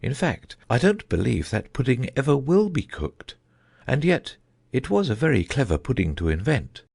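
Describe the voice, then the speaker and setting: A man voicing a solemn, dreamy old knight who rambles about his inventions, in a husky voice. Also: moderate pace, heavily acted. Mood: musing. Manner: rambling, thoughtful, lively pitch swings.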